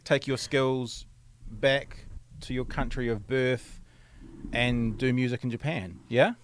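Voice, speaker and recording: A man's voice: medium pitch, takes it slowly, a little hiss or hum. A man hosting an interview, asking loose conversational questions with frequent restarts. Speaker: interview host. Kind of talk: casual interview.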